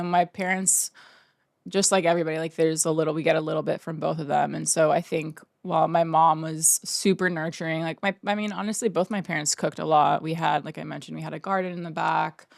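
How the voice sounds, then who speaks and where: Woman, thirties, from Oregon, rambling storyteller, low gravelly voice. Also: no echo, clean studio sound. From Oregon, United States. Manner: rambling, filler-heavy storytelling. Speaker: painter, interview guest. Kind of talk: casual interview conversation.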